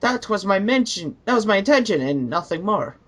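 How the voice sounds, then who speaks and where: A woman's voice: medium pitch, big pitch swings, brisk. A woman acting as a reader, giving a dramatic reading of written online comments.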